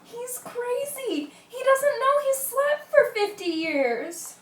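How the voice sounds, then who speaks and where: A woman playing a superstitious, easily frightened sailor sidekick in a radio play, excitable and folksy in manner. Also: extremely high pitch, slow pace, recorded from a distance, heavily acted. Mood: nervous. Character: jumpy, superstitious young-sounding sailor sidekick, easily terrified. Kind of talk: amateur radio drama acting. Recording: echoey room, away from mic.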